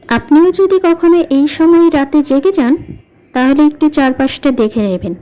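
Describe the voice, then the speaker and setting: Female horror narrator: high, mellow tone, suspenseful scripted delivery. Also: expressive, moderate pace. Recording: music behind the voice. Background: background music.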